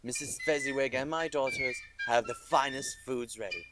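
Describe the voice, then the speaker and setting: A man's voice: medium pitch, normal speed, loud music behind the voice, lightly acted. A man acting a jolly, generous old employer in a radio drama. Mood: jolly, hearty. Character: jolly, generous old male employer calling his apprentices.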